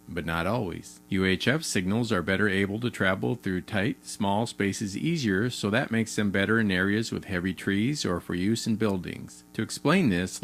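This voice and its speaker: Low-pitched male explainer narrator. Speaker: explainer video narrator for a radio retailer. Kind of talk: scripted instructional narration.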